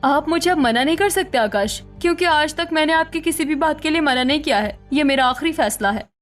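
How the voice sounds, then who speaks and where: Woman as a defiant, high-pitched young wife. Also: fairly quick, soft background music, close to an everyday voice. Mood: firm. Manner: assertive, indignant. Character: defiant, indignant young housewife daughter-in-law. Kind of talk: Hindi cartoon story dialogue.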